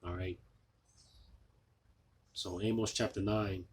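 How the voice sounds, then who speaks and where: A man losing his voice, giving an informal scripture sermon, halting with many fillers and restarts. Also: deep voice, steady tone, husky. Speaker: preacher.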